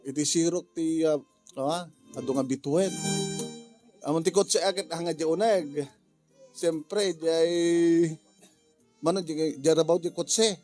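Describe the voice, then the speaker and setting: A man with an Ilocano Filipino accent talking casually through a drama story in a halting, rambling, jokey way. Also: on the high side, music in the background. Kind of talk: casual spoken story briefing.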